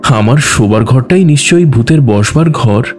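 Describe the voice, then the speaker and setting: A man voicing a timid, testy city-bred aunt with a shrill, snappish manner in an audio story. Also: expressive, moderate pace, lightly acted. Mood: forced bravado. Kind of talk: dramatised audio story dialogue. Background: background music.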